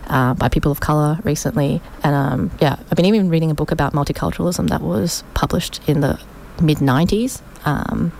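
A woman's voice: low voice, brisk, gravelly, few pauses, a little mellow, a little hiss or hum. A woman from Sydney, a guest on a community radio show, chatting in a thoughtful way with many fillers. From Australia, Sydney. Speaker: studio guest. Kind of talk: casual radio interview.

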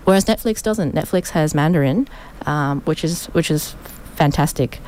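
A woman's voice: low-pitched, normal speed, darkish tone, low-quality recording. A woman from Sydney, a guest on a community radio show, chatting in a thoughtful way with many fillers. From Australia, Sydney. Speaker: studio guest. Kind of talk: casual radio interview.

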